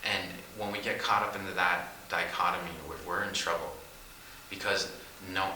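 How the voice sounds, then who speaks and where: A man's voice: deep voice, flat delivery, normal speed, sharp tone, a little hiss or hum. A man reflecting thoughtfully on art and public opinion in an interview. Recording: roomy, from a distance.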